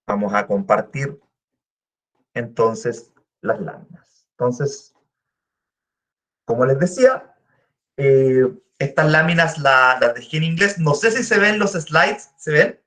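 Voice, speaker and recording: Slow, deliberate Chilean man lecturing. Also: medium pitch, expressive, fairly bright tone. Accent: Chilean Spanish. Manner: slow deliberate delivery with frequent "eh" fillers. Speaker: guest lecturer. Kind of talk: online academic lecture.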